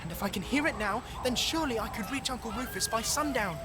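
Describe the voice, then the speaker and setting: An actor as a timid, plucky young animal hero, swooping pitch. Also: expressive, fast talker, background noise, natural voice. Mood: hopeful wonder. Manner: fretful, old-fashioned British children's-book diction, sighing. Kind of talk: storybook audio drama acting.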